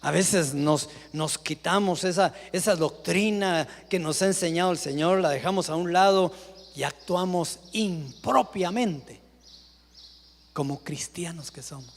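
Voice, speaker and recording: A man, a pastor preaching a dramatic sermon that swings from loud calls to hushed asides. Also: fairly high pitch, a little slow, creaky. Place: church. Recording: live church sermon with long reverb.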